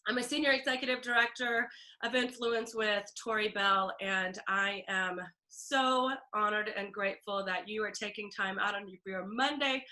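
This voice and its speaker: Woman team leader on a video call, congested and hoarse-edged, high and bright, chatty with lots of ums. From Texas. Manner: upbeat, chatty, many ums and throat clearing. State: stuffy and scratchy throat, coughing.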